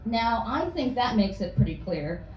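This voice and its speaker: A young woman from Florida doing a hesitant, deadpan stand-up set, full of ums and likes. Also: on the high side, unhurried. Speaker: stand-up comedian. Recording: distant, echoey club with noise.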